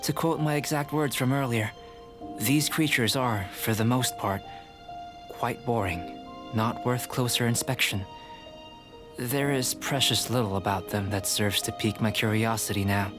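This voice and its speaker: A man playing a composed young male alchemist in a video game, speaking in a measured, formal, detached and polite way. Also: mid-range voice, fairly even intonation, lightly acted. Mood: detached, coolly precise. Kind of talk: scripted video game character dialogue. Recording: game music behind the voice. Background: clear background game music.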